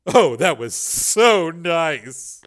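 A man's voice: a little high, expressive, measured pace, voice pushed up. A man doing a sly teasing redheaded showgirl. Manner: teasing, sly, raised and bright. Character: sultry wisecracking redheaded showgirl, woman.

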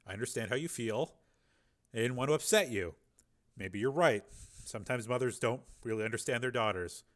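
A man voicing an older crime boss in an audiobook, talking softly and calmly to reassure a scared girl. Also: mid-range voice, a little fast, lightly acted. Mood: soothing. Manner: soft, calm and reassuring. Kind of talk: audiobook character reading.

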